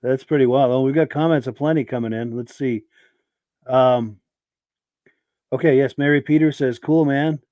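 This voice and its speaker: A man hosting a podcast in a relaxed, chatty way, reading out viewer comments while his voice is hoarse from a sore throat. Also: mid-range voice, moderate pace, pauses often, no room sound, highs cut off. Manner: relaxed, chatty, affirming with yeahs. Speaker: podcast host.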